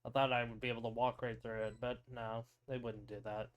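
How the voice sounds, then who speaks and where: A woman's voice: very deep voice, flat delivery, fairly quick, muffled recording. A woman doing casual gameplay commentary, reacting with blunt, sceptical, offhand asides. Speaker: gamer reacting to game story. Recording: game music behind the voice.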